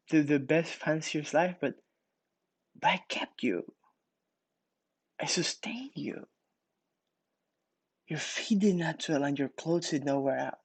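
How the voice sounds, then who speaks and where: A man's voice: on the high side, no room sound. Casual young man giving a devotional. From Ecuador. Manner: casual, many fillers and restarts. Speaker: pastor. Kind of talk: morning devotional.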